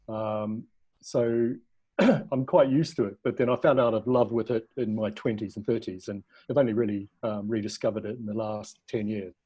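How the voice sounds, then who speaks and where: Husky-voiced New man, hesitant. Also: lowish voice, steady tone, moderate pace, mellow. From New Zealand. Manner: hesitant, many ums, restarts. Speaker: documentary filmmaker guest. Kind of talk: casual interview over video call. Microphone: video call.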